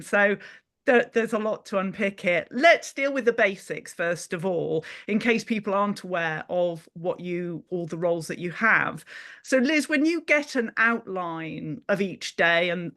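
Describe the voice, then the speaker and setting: Woman hosting a podcast chat, medium pitch, asking guests questions. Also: fairly bright tone. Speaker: podcast host interviewing guests. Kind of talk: conversational panel interview.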